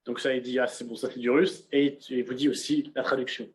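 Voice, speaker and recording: A young man giving a casual on-screen software walkthrough, talking conversationally with frequent fillers and restarts, recorded on a computer mic. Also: medium pitch, little pitch movement, a little fast, slight background noise. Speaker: presenter of a software demonstration. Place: indoors at a computer.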